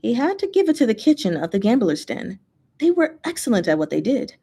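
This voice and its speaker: A woman reading fiction aloud as narrator, in an expressive storytelling style. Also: fairly high pitch, brisk, slightly rough. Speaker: fanfiction reader, channel host.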